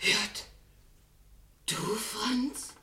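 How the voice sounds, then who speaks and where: A woman's voice: fairly high pitch, little pitch movement, very slow, pushed and bright, echoey room, heavily acted. An actress playing a frightened, indignant working-class married woman in a radio play, scolding. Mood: wary. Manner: agitated, emphatic, scolding. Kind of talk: German radio play acting.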